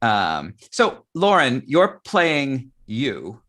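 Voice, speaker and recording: A man's voice: medium pitch, huge pitch swings, very slow, a bit bright. Male interviewer, mid-range voice, casual live-panel talk, keeps tagging his points with "right?". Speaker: interviewer in a theatre discussion.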